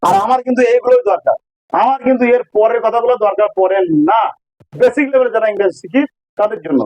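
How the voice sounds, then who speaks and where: A man's voice: very high voice, fast, a little mellow, no room sound, low-quality recording. A man with an electronically altered voice, explaining grammar step by step as a teacher, repeating key phrases. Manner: didactic, repeats key phrases and checks understanding. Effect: voice changer. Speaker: English teacher.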